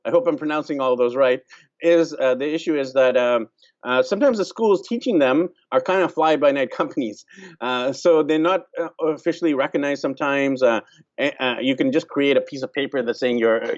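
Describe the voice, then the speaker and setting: Man talking, dull recording. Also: medium pitch. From Vancouver, Canada. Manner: many fillers, pauses to restart sentences. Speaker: host. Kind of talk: casual explanatory talk.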